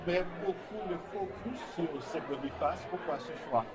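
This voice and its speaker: Male interviewer, slightly high voice, asking questions amid a loud crowd. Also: expressive, normal speed. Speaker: interviewer, radio reporter. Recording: loud crowd noise around him.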